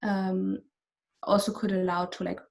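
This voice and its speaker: A woman whose first language is German, speaking English as an artist guest, thoughtful and halting. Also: medium pitch, level tone, vocal fry. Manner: thoughtful, halting, soft laughs. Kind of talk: casual conversation.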